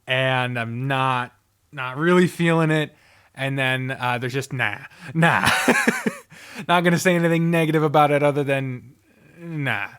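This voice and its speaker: A man's voice: mid-range voice, a bit bright, clear, resonant tone. A man giving casual, rambling ranking commentary, thinking aloud and swearing freely in an informal way. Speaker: host.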